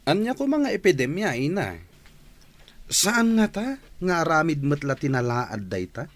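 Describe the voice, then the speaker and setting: A man's voice: on the high side, very animated, moderate pace. A man acting a skeptical, teasing young son in a radio drama.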